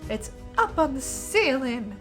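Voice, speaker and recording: Woman as a frightened, high-voiced young girl. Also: very animated, takes it slowly, music in the background, full character voice. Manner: fearful, pleading.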